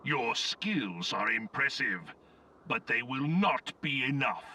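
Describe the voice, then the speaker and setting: An actor playing an imperious, cold and menacing armored warlord in a video game, with a raspy, forceful voice. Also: male voice, mid-range voice, lively intonation, takes it slowly, recorded from a distance, slight background noise, heavily acted. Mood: cold menace.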